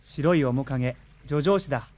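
An actor playing a moody, dreamy schoolboy poet in a radio drama. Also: male voice, medium pitch, measured pace, a little mellow, lightly acted. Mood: brooding.

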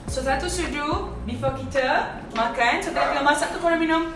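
A woman's voice: high-pitched, fast, over music. A young Malaysian woman hosting a casual cooking vlog in her home kitchen, gushing and giggling as she chats. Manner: bubbly, giggly, gushing, code-switching. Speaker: vlogger host. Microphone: camera mic from a few feet away. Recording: roomy kitchen sound from a distance.

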